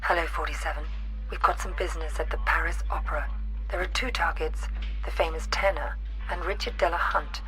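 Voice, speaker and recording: A woman's voice: low voice, fairly even intonation, brisk, fairly bright tone, low-quality recording. Female agency handler, British, businesslike game mission briefing, unruffled, light music underneath. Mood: cool, businesslike. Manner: crisp, businesslike. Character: cool, composed female handler briefing an assassin.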